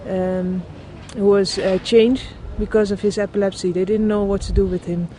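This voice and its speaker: A woman, a project founder speaking earnestly in a documentary interview and pausing often to find words. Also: medium pitch, fairly even intonation, mellow. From Netherlands. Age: 20s. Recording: outdoor street noise with passing traffic.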